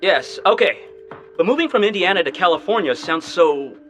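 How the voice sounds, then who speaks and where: A man's voice: high voice, animated, moderate pace, fairly bright tone, soft background music. An actor playing a hesitant, cautious male scientist in a radio drama. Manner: hesitant, uncertain.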